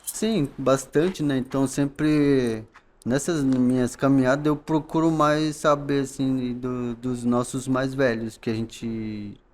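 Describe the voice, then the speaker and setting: A man from the coast of São Paulo, Brazil, speaking as a podcast guest in a calm, halting way, often ending phrases with tag questions. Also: medium pitch. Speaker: guest, knowledge keeper. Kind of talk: podcast interview.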